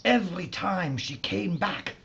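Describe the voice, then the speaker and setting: An actor playing a captured Nazi camp commander in a horror audio drama, haughty, sardonic and haunted. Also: male voice, high-pitched, animated, a little slow, gravelly, a bit bright, exaggerated voice. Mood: ominous dread. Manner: sardonic, ominous, theatrical. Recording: muffled lo-fi audio with noise.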